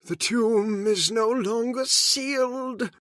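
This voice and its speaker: An actor playing a credulous old man steeped in occult lore, speaking slowly and solemnly in old-time radio drama style. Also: extremely high pitch, fairly bright tone, no echo, studio quality, exaggerated voice. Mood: grave. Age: older. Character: credulous old male scholar of the occult, grave.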